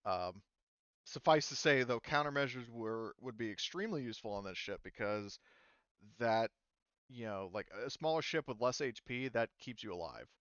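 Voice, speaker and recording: A man running a tabletop game, explaining rules in a casual, halting, hedging way. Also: medium pitch, lively intonation, fairly bright tone, clean tone, no echo, lo-fi sound. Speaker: game master.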